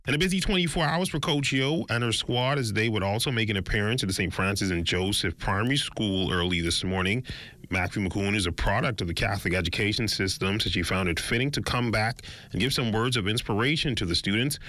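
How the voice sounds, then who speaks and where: A man reads sports headlines in a steady, announcer-style cadence. His voice sits fairly low yet has a bright, forward tone. It is a scripted news read. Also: dry recording. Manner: measured, announcer-like delivery. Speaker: sports anchor.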